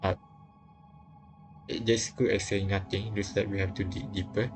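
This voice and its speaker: A man with a fairly low voice narrates a visual novel live. He reads haltingly and adds casual remarks, with game music clearly behind him. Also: fairly even intonation. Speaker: streamer reading a visual novel aloud. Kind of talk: live read-aloud narration with casual comments.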